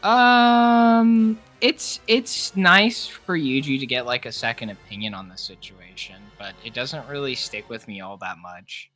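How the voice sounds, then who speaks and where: Sharp, bright-voiced young man over music. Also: mid-range voice, animated, a little slow, few pauses, poor audio. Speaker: co-host of a character ranking discussion. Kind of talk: casual opinionated chat.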